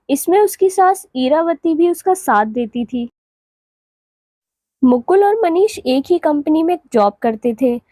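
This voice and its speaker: A woman's voice: sits high, dark tone, dry recording. A woman giving scripted narration for a cartoon moral story.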